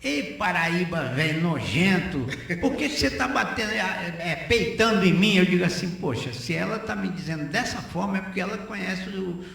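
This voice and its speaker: An older man speaks in a high-pitched, gravelly voice. He talks conversationally on the radio, stressing his points by repeating phrases. The sound is heavily reverberant, as in a very echoey room. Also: lively intonation, normal speed, hardly any pauses, a bit bright. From Northeast Brazil. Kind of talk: opinionated conversational radio talk.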